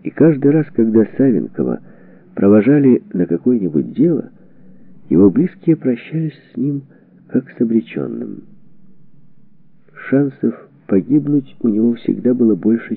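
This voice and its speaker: Tinny, band-limited low-voiced male audiobook narrator. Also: lively intonation, measured pace, vocal fry, breathy edge, dry recording. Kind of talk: scripted narration of a historical book.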